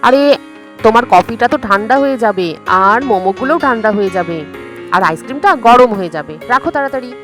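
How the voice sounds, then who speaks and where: একজন নারী বাচ্চাদের কার্টুন গল্পে এক যত্নশীল অথচ কড়া, বকুনি দেওয়া মায়ের চরিত্র করছেন।. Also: female voice, fairly high pitch, animated, a little fast, fairly bright tone, soft background music. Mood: scolding. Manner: nagging, commanding. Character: caring but strict, scolding mother. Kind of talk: children's cartoon story acting.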